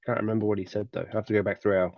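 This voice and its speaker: Halting Australian man, dry dull audio. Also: fairly low pitch, little pitch movement, dry recording. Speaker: podcast co-host. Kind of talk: casual book chat.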